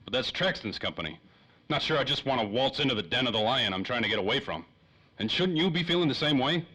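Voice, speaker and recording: A man plays a hard-boiled radio-play detective with a bright, forceful voice. He talks fast and clipped, a wary wisecracker who wants straight answers. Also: medium pitch, lively intonation, breathy edge, rough recording. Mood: doubtful. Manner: clipped, wisecracking tough-guy talk. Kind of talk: 1930s old-time radio drama acting.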